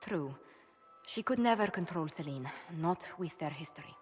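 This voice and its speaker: A woman playing a refined, principled diplomat in a fantasy game, polite and expressive. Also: low voice, normal speed. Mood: concerned. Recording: long hall reverb, music behind.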